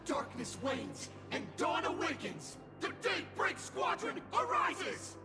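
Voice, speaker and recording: A boastful young masked squad leader from a video game, exuberant and teasing. The voice is very high and swoops with theatrical flair. It rings in a long reverb over clear music. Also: female voice, sing-song, slow, heavily acted. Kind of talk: animated game voice acting.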